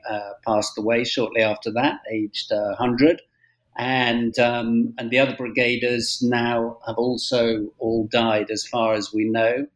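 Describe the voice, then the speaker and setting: British male historian, middle-aged, conversational interview guest, measured and hesitant delivery. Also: medium pitch, poor audio. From Britain. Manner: halting, measured, frequent hesitations. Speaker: guest author, historian and journalist.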